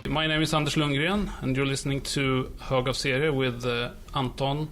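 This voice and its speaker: A man's voice: mid-range voice, steady tone, moderate pace, loud music behind the voice. A man with a Swedish accent hosting a casual interview, speaking in an easygoing, slightly hesitant way. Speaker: podcast host, interviewer. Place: room at a comics show.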